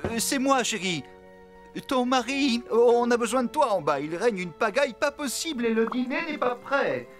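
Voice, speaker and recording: Animated, flustered cartoon elephant dad. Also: male voice, extremely high pitch, normal speed. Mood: sheepish pleading. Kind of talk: animated children's cartoon dub. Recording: loud music behind the voice.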